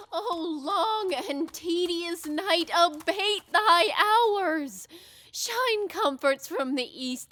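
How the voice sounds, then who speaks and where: A woman's voice: sits high, pushed and bright, no echo, full character voice. A woman playing a weary, sorrowful young woman in a plaintive Shakespearean audio drama. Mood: weary despair. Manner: plaintive, sighing delivery.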